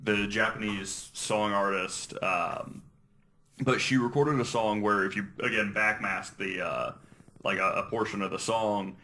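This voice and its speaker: A man in casual podcast conversation as a guest, with a halting, wry way of talking. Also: lowish voice, steady tone, pushed and bright. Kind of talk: casual chat.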